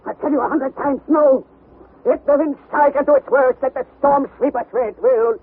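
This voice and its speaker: An actor playing a stubborn, fanatical, bad-tempered older professor in a radio drama, commanding and declamatory in manner. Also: male voice, very high voice, fairly even intonation, moderate pace. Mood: defiant, fanatical. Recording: tinny narrow-band vintage radio recording.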